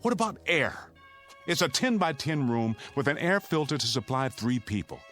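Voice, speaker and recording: Man acting a stern father, animated delivery. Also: medium pitch, normal speed, soft background music, lightly acted. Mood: stern, defensive. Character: stern, resolute suburban father guarding his family. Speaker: actor in a radio drama. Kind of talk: dramatic radio acting.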